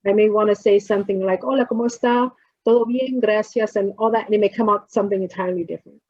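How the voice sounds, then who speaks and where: A woman's voice: medium pitch, fast talker, no echo. New Jersey woman, chatty on a muffled call. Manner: relaxed, chatty, often trails off while fumbling with screen sharing. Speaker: poet leading a workshop. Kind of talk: casual workshop talk on a video call. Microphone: computer mic on a video call. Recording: dull, lo-fi video call audio.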